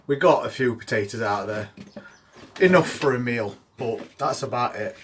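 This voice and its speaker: A man's voice: medium pitch, a bit bright. Older English man, noisy garden recording. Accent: English regional. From United Kingdom. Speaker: gardening vlogger. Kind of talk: casual gardening commentary. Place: outdoors in an allotment garden and inside a greenhouse.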